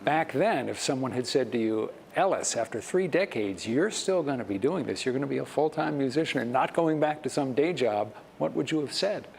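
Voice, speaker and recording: A male news reporter poses his questions in a steady mid-range voice. His phrasing is deliberate and clear, suited to broadcast. A light bed of background ambience sits under him. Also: medium pitch, a little hiss or hum. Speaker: TV news interviewer.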